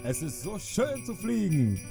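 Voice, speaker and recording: A man's voice: high-pitched, huge pitch swings, unhurried, vocal fry, mellow, full character voice. A man playing a jolly old Santa Claus with a hearty, delighted manner in a children's radio play. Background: jingle bells and music.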